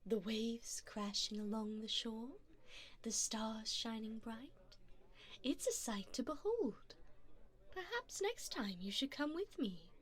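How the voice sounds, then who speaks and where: Slow, seductive vampire sugar mommy, played by a woman. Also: a little high, lively intonation. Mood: smooth, persuasive. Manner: poised, formal, teasing, often breathy and half-whispered. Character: seductive, wealthy vampire woman, doting and predatory. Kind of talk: ASMR roleplay, addressing the listener.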